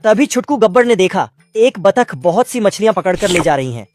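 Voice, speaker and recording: A storyteller recaps cartoon antics in everyday, slangy language. The delivery is jokey and a little crude, scripted as running comic commentary. Music plays clearly behind the voice. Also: medium pitch, sing-song, normal speed, a little mellow. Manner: colloquial, jokey, cheekily crude. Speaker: narrator. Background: prominent background music.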